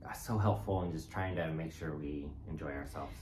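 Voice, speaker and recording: Very deep-voiced man in an echoey room. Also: a crowd in the background. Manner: relaxed, halting with fillers and restarts. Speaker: vlog co-host. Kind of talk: casual chat. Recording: echoey room with faint noise.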